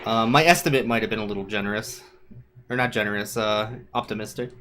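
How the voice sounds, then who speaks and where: A man's voice: lowish voice, fairly even intonation, fast talker, sharp tone, soft background music. A man doing casual, joking, self-deprecating live commentary while playing a speedrun.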